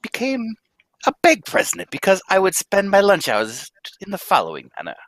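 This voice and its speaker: A man voicing a stern bank president who lectures his employee in a pompous, emphatic way. The voice is bright and forceful, swinging widely in pitch, and recorded dry and close. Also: huge pitch swings, moderate pace, no echo, full character voice. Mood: stern lecturing. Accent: American. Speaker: live script reader. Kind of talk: live radio-play reading.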